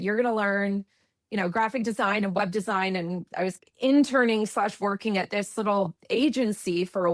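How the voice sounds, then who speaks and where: Casting: Canadian woman guest, chatty and reflective, mid pitch, dry close sound. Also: dry recording. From eastern Canada. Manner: chatty, reflective, self-correcting. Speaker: guest, UX expert. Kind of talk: conversational interview.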